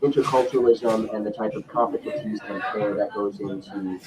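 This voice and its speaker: A young man from Michigan, a podcast guest at home, talking in a measured, thoughtful way with frequent pauses. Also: on the low side, fairly even intonation, noisy background. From Lansing, Michigan, US. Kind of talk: casual interview talk.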